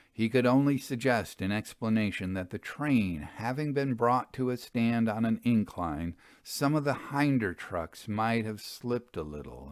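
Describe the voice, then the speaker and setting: A man's voice: on the low side, no room sound, studio quality. A man reading a Victorian ghost story aloud as a literary narrator.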